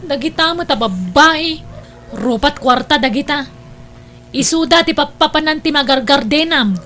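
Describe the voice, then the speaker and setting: A woman's voice: sits high, moderate pace, sharp tone, soft background music. A woman with an Ilocano accent playing an exasperated, scolding older sister in an audio drama. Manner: nagging, reproachful.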